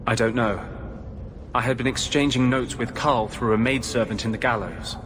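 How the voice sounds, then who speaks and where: An actor voicing an earnest, defiant mage healer with a measured, intense delivery in a dramatic video game. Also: male voice, mid-range voice, noisy background, natural voice. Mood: earnest, determined. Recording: music behind the voice.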